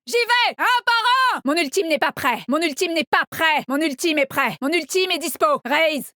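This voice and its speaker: A woman's voice: high voice, expressive, pushed and bright, no echo, studio quality. An actress voicing a young, cocky and upbeat female agent in a tactical shooter game, with short, confident, teasing callouts. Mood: brisk, playful. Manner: confident, teasing, brisk delivery. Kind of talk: video game voice lines, short punchy callouts.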